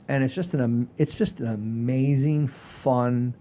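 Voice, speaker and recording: Male co-host, soft mellow tone, loose rambling chat, repeats himself, faint rumble in the background. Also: steady tone, a little slow. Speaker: comedy show co-host. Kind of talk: casual chat, addressing the audience. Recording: low rumbling background noise.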